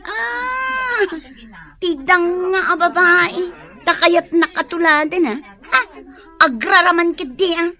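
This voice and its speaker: A woman's voice: very high voice, slow pace, exaggerated voice. A woman with an Ilocano accent playing a boastful, vain, taunting neighbour in a radio drama. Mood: mocking. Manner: taunting, sharp-tongued.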